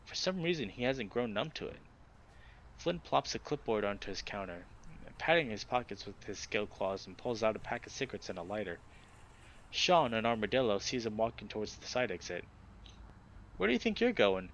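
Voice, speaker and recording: A man's voice: on the low side, fairly quick, fairly bright tone. A man narrating story prose as he reads a visual novel aloud. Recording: dull, noisy home recording.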